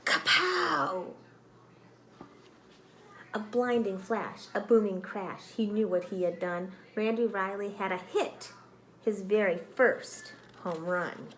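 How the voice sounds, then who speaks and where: A woman reading a picture book aloud to children like a teacher, expressive and dramatic, with exclamations and prompting questions. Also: medium pitch, slow. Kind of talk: read-aloud storytelling with questions to young listeners.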